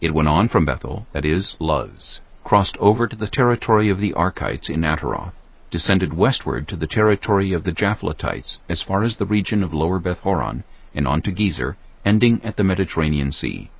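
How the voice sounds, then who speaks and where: A man narrating scripture from a script as a measured, solemn reading. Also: deep voice, lively intonation, creaky, slightly rough. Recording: muffled audio with music behind.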